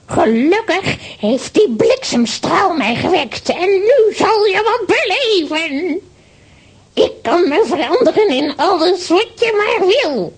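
A woman's voice: very high-pitched, big pitch swings, takes it slowly, exaggerated voice. An actress playing a boastful, spiteful centuries-old witch in a children's radio play, theatrical and gloating. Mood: menacing, boastful.

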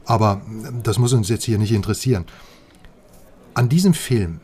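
A man's voice: fairly low pitch, lively intonation, normal speed, a little mellow, lightly acted. An actor playing a chatty, eager sidekick in a radio crime drama, colloquial and proud of what he has found out. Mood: eager, gossipy.

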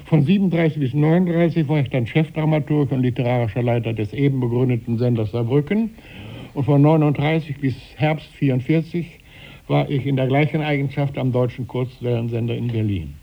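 Creaky-voiced man of eighty, reminiscing. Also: mid-range voice, steady tone, a little fast, lo-fi sound. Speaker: author, interview guest. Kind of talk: reminiscing in an interview. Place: radio studio.

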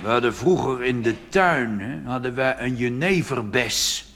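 A man's voice: mid-range voice, unhurried, fairly bright tone, a faint crowd, a little hiss or hum, voice pushed down. A man playing a cheeky, half-deaf old street-organ grinder in a rambling, mumbling cabaret monologue. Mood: deadpan mischief. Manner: rambling, hesitant, mumbled asides.

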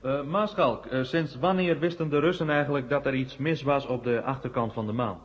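A man's voice: medium pitch, normal speed, close to an everyday voice. A man acting a polite, slightly hesitant but probing reporter in a Dutch radio play. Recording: muffled 1970 radio recording, echoing hall.

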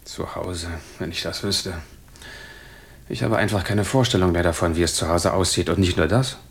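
Deep, husky, wry gentleman. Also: steady tone, normal speed, full character voice. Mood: wistful, troubled. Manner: wry, musing, courteous. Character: flirtatious, wistful gentleman patient with lost memory. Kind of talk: German radio crime drama acting.

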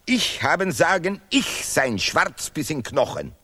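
An actor playing a pompous, sanctimonious male laureate who declaims vehemently in deliberately broken grammar in an absurdist radio play. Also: fairly high pitch, big pitch swings, bright, forceful tone, slightly rough, heavily acted.

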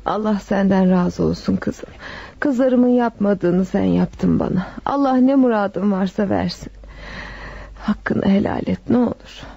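An actress in a radio drama playing a frail, sick old widow who speaks affectionately and piously, with sighs. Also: female voice, mid-range voice, measured pace, vocal fry, dark tone, slightly rough. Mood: grateful. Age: older. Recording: dull lo-fi radio recording, music behind.

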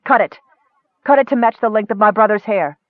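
A man's voice: sits high, a little fast, no echo, phone-quality sound, pitched above the performer's own voice, close to an everyday voice. A man voicing a fierce, imperious young noblewoman with a cutting, commanding manner in a storybook.

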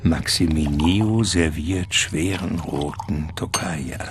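A man narrating a radio drama from a script in a deliberate, suspenseful manner. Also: a bass voice, noisy background.

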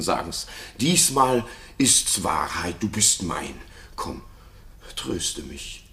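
An actor playing a jealous, tormented king in a classical radio play, speaking in a halting, theatrical, brooding way. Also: sits low, fairly bright tone, full character voice.

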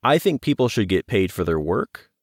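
Low-voiced man, halting casual talk. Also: sing-song, creaky, breathy edge, no room sound, studio quality. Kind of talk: casual interview chat.

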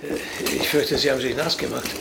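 A man's voice: mid-range voice, fairly even intonation, brisk, creaky, lightly acted. An actor playing a dry, long-suffering man in a radio drama, speaking politely with understated hesitation. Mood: weary, patient. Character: dry, long-suffering man reluctantly tending an old puppeteer.

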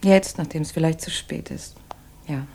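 A woman's voice: deep voice, normal speed, warm tone, husky. An actress playing an earnest, remorseful, introspective woman in love, hesitant and intimate, in 1960s radio drama style. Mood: reflective. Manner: hesitant, soul-searching, intimate.

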